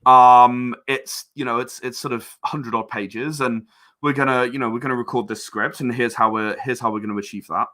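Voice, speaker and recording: A man's voice: medium pitch, fairly even intonation, moderate pace, pushed and bright. A man talking casually as an interview guest about his creative work, chatty and rambling as he explains. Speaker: interview guest, game and audio drama creator.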